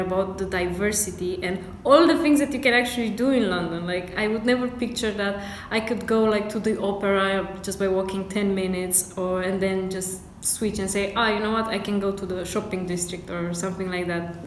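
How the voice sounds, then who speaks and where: A woman's voice: medium pitch, brisk, slight background noise. Young woman from Romania. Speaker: PhD student. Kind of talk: casual interview. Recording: echoey room, faint ambience.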